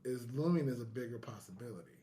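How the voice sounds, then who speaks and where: A man hosts a casual podcast in a medium-pitched voice. His delivery is earnest and enthusiastic, like someone sharing plans with friends. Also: expressive, fast talker, mellow. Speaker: podcast host.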